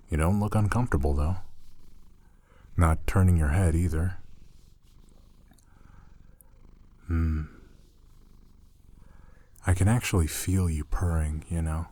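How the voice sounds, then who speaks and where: A young man acting as a gentle, teasing roommate in an intimate ASMR roleplay, speaking soothingly and coaxingly, close and soft. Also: very deep voice, husky, full character voice. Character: gentle, teasing male roommate soothing a shy catgirl-like companion.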